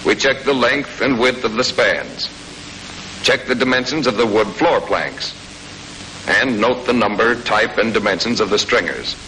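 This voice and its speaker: An American man narrates in the brisk, scripted style of a 1940s army training film. His voice is lowish and forceful, with plain-spoken, folksy instruction. It comes from an old film soundtrack with a faint layer of noise. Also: poor audio. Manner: brisk, matter-of-fact instruction with folksy colloquial touches.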